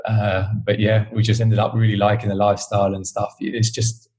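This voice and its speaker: A man's voice: fairly low pitch, fairly quick. A man from the UK with a British accent, talking casually and rambling a bit as an interview guest. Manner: relaxed, rambling, many fillers. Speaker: podcast host, interview guest. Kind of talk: casual chat.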